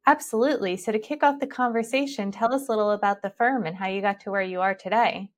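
A woman's voice: medium pitch, fast talker. Polite woman podcast host. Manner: polite, smooth and scripted. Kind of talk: scripted podcast introduction and questions.

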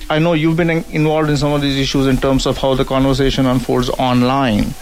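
A man hosting a radio roundtable, putting questions to guests in a halting, searching way. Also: mid-range voice, a little hiss or hum, low-quality recording. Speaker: radio host.

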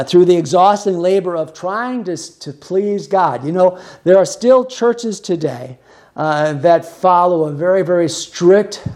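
Guy preacher w a slightly high voice, earnest chatty sermon, explains stuff and repeats words for emphasis. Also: darkish tone. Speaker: preacher and podcast host.

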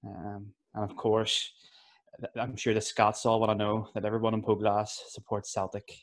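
A young man with a Belfast accent, telling about his life in a relaxed, laughing way. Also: lowish voice, moderate pace. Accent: Northern Irish, Belfast. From West Belfast, Northern Ireland. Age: young adult. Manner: laid-back, laughs easily, fillers. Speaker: youth worker and rapper. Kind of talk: casual interview.

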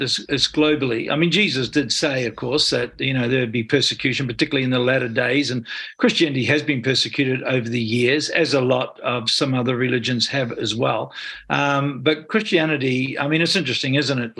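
A man's voice: medium pitch, gravelly, pushed and bright, breathy edge. A man in his seventies with a New Zealand accent, answering interview questions earnestly, rambling with many restarts.

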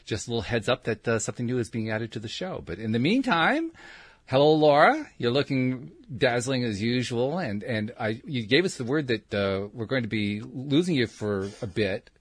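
A man's voice: mid-range voice, sing-song, moderate pace, slightly rough, dry recording, clean studio sound. A man hosting a talk show, chatting casually with many fillers and restarts, laughing easily as he introduces a guest. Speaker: show host. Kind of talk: casual interview chat.

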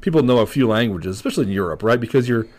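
A man's voice: mid-range voice, lively intonation, rapid-fire, a little mellow. A man from the United States hosting a podcast, joking around casually, swearing and laughing often. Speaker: podcast host. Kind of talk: casual chat.

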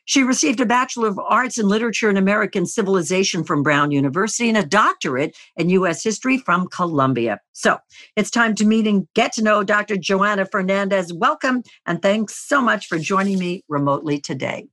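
A woman's voice: mid-range voice, lively intonation, fairly quick, fairly bright tone. A woman hosting an interview show, reading a polished introduction and then asking inviting questions.

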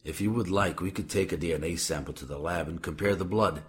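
Man playing a very deep-voiced sombre police detective. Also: quick pace, natural voice. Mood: sombre, hesitant. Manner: formal, careful, hesitant. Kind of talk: audio drama acting.

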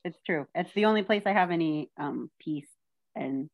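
A woman's voice: low-pitched, animated, moderate pace, gravelly. A woman from Texas chatting as a podcast guest, joking and poking fun at herself. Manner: joking, self-deprecating storyteller. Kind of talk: casual chat.

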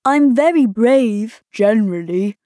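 A woman's voice: on the high side, lively intonation, a little slow, mellow, dry recording. A woman voicing a timid yet boastful, self-pitying little storybook man, plaintively.

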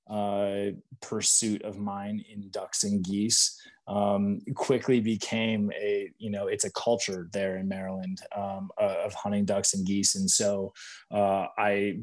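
A man's voice: lowish voice, darkish tone. A man in his thirties from Michigan, talking casually in an interview, rambling with fillers and restarts. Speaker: outdoor gear category manager, interview guest.

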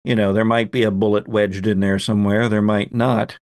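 A man acting as game master, improvising narration for a tabletop game and repeating phrases haltingly as he goes. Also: on the low side, flat delivery, no echo, clean studio sound.

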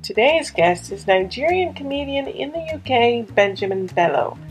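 A woman's voice: on the high side, very animated, normal speed, faint music behind, low-quality recording. A woman podcast host introducing and narrating in a wry, conversational way. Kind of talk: scripted podcast narration and interviewing.

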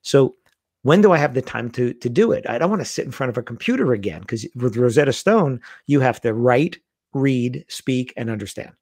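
Middle-aged man, conversational storytelling, close dry sound, lively pitch. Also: brisk, no echo. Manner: animated storyteller, acts out quoted lines. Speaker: guest.

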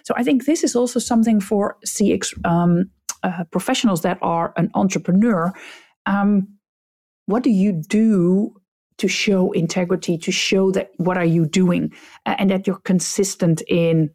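A woman's voice: medium pitch, unhurried, darkish tone. A woman with a Dutch accent, whose first language is Dutch, hosting a solo podcast and giving direct, blunt advice to leaders. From Netherlands. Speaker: podcast host, customer experience coach.

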